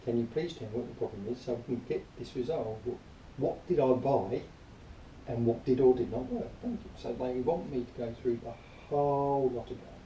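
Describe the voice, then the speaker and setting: A man giving an informal spoken review in a rambling, matter-of-fact, grumbling way, partly reading aloud, recorded quietly on a camera some distance away. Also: medium pitch, normal speed, dull audio. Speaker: product reviewer. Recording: distant quiet mic, roomy echo, faint noise.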